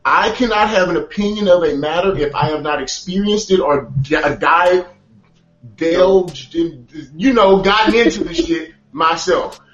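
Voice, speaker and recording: A man's voice: high-pitched, a little slow, fairly bright tone, far from the mic, highs cut off. A man in casual podcast banter, argumentative and joking, swearing freely. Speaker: podcast co-host. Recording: roomy, away from the mic.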